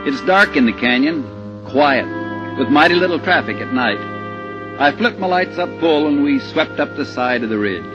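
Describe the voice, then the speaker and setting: An actor in a 1950s radio drama playing a steady, wry middle-aged husband, with a terse, hard-boiled way of telling it. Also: male voice, mid-range voice, moderate pace, over music, close to an everyday voice. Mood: tense narration. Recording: dull old radio recording.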